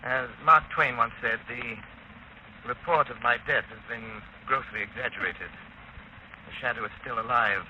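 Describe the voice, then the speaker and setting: An actor playing a suave, self-assured gentleman crime-fighter, urbane and precise, in 1930s radio drama style. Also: medium pitch, unhurried. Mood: confident. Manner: urbane, wry, precise, declamatory. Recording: muffled, noisy 1930s radio recording.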